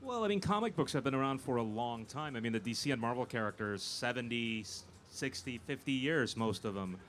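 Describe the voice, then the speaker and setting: A man speaking as a film producer guest in a live panel interview, pausing and repeating words as he thinks. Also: mid-range voice, expressive, a bit bright. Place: live convention stage. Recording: noisy live event room.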